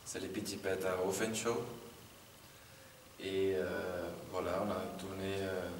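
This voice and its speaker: A man from Brazil speaks French, plain and hesitant. His voice is lowish and flat. He sounds distant, in an echoey hall with faint noise. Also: takes it slowly. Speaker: circus juggler.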